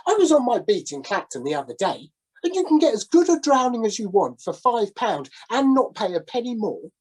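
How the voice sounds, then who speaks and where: A brisk, officious seaside policeman, as a woman storyteller puts him on, his voice pitched up high and bouncing with indignation. He sounds husky and talks in quick bursts. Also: sing-song, a little mellow, rough recording. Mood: indignant.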